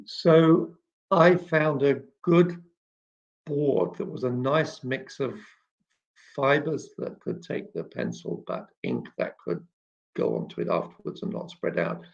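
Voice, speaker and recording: A man hosting an informal live question-and-answer chat, explaining things in a halting way and often clearing his throat. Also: mid-range voice, big pitch swings. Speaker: children's author and illustrator hosting a live chat. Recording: dull, muffled lo-fi live stream.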